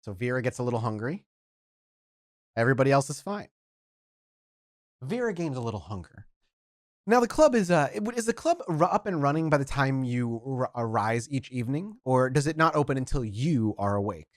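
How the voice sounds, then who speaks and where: A man's voice: mid-range voice, sing-song, fairly quick, no room sound, studio quality. A man narrating a tabletop roleplaying game as storyteller, setting scenes and putting questions to the players.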